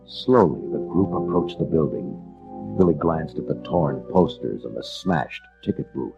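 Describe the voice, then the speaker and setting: A man narrating a children's storybook dramatically, with theatrical, measured delivery. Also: rumbling low, expressive, gravelly, lo-fi sound, over music.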